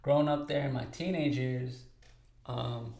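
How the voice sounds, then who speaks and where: A man's voice: medium pitch, fairly even intonation, a little slow, gravelly, darkish tone, roomy sound. A man in his twenties from Texas, co-host of a podcast, talking casually in an interview, easygoing and talkative with lots of fillers. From Texas, United States. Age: 20s.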